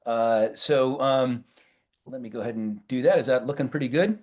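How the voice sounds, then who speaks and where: An American man speaking as a professor on a webinar panel, halting often with fillers and restarts over a video call. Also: medium pitch, darkish tone. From United States.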